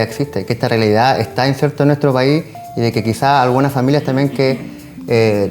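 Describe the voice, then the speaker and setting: A man's voice: mid-range voice, a little mellow. A man with a Chilean accent giving informal, run-on explanations as an interview guest. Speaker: social worker, guest.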